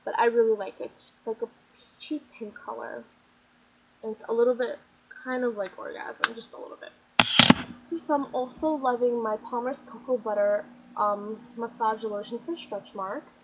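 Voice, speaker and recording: A woman's voice: on the high side, fairly even intonation, normal speed. Chatty young woman, slightly scratchy voice. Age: young adult. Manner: chatty, gushing, lots of "like" and "um". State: slightly scratchy, getting sick. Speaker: beauty vlogger. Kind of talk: casual product review chat. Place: small bedroom. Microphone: built-in webcam mic. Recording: dull lo-fi webcam audio with faint room noise.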